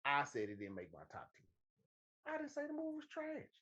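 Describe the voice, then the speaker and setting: Raspy dude bantering on a show, super animated, repeats himself for emphasis, bit lo-fi. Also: male voice, on the high side, normal speed. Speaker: podcast co-host. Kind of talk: casual banter debate.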